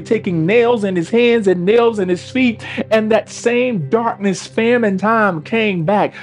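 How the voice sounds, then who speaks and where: High-pitched man preaching over music. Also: darkish tone. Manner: exhortative, emphatic repeated refrains. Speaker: pastor preaching. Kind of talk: sermon to an online congregation.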